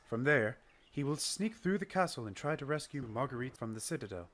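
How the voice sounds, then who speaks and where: A man playing courteous doctor with lively intonation. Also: mid-range voice, brisk. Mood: explaining. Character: courteous, measured doctor, wise planner and mentor. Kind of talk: radio-play acting.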